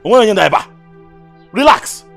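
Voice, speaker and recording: A man's voice: high voice, big pitch swings, unhurried, creaky, fairly bright tone, soft background music, exaggerated voice. A man playing a frustrated village husband who complains in exasperation. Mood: exasperated.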